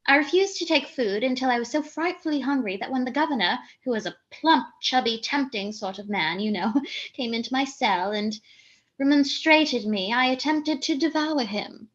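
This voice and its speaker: A very high-voiced, haughty lady. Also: animated, normal speed, highs cut off. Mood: grandly confiding. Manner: haughty, arch, declamatory. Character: flighty, self-important young lady, brazen and grandiose. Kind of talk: theatrical radio comedy monologue and dialogue.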